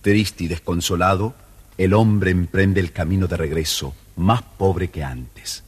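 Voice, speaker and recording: A man narrating a children's storybook record in a measured storytelling voice. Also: low voice, steady tone, breathy edge.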